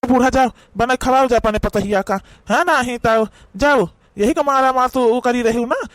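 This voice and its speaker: A man's voice: very high voice, normal speed, no echo. A man with an Awadhi accent voicing a sarcastic, grumbling old father-in-law in a cartoon. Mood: sarcastic, scolding. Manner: nagging, sarcastic. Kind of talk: amateur cartoon voice acting.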